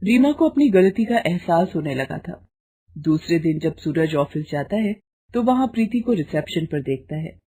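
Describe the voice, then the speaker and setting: Mellow, husky woman storyteller. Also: lowish voice, animated, moderate pace. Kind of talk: scripted story narration.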